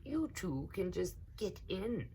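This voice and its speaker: A big, hungry storybook alligator, played by a woman, sly and falsely kind. He draws out his words slowly to coax his prey, with swoops in pitch. There is slight noise in the recording. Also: big pitch swings, warm tone. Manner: drawn-out, falsely kindly coaxing. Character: big hungry male alligator, slyly friendly predator.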